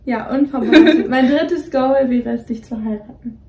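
Young female co-host, mellow voice, rambly casual delivery, roomy distant sound. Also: high voice, normal speed. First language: Greek is partly her home language. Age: young adult. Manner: rambling, many fillers and restarts. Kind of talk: casual chat. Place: dim bedroom, indoors. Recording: roomy echo, voice somewhat distant from mic.

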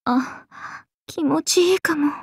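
A woman's voice: high voice, slow, creaky, no echo, clean studio sound, full character voice. A woman voicing a playful, teasing, carefree oni goddess in an anime game, with a casual, airy drawl. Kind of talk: Japanese anime game voice acting.

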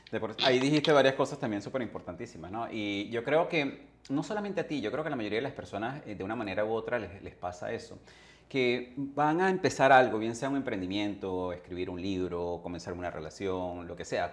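A man's voice: lowish voice, animated, a little fast. A man in his forties hosting an interview from a small studio, affirming his guest and asking long, searching questions. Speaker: host. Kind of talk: conversational interview. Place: studio with branded backdrop. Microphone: studio microphone on arm.

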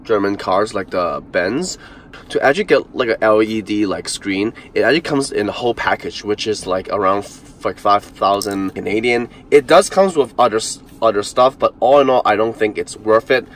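A young man with a fairly low voice chats through a car's dashboard features in a casual vlog, full of "uh"s and restarts. He sits in a parked car with a phone camera close by, and faint cabin noise hums underneath. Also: moderate pace. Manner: chatty, lots of fillers and restarts. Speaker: car reviewer.

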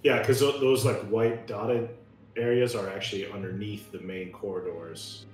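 A man's voice: fairly low pitch, steady tone, moderate pace, over music. A man talking casually as a player in a tabletop roleplaying game. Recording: very echoey room, clear noise.

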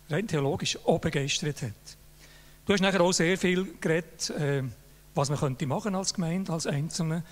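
Older Swiss German man in a reverberant hall. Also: fairly high pitch. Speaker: jury member presenting an award. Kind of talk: Swiss German laudatory speech. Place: large hall on a stage. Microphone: handheld mic.

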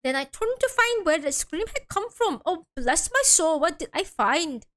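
A woman's voice: extremely high pitch, very animated, normal speed, fairly bright tone, heavily acted. A woman voicing a chatty old Irish male coachman testifying in a game, with an attempted Irish accent and a rambling storyteller's way of talking. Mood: storytelling.

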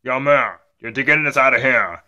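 A man's voice: medium pitch, normal speed, sharp tone, voice pushed down. A man voicing a stern, weary dad in an amateur skit, admonishing his rowdy child in a repetitive, subdued way.

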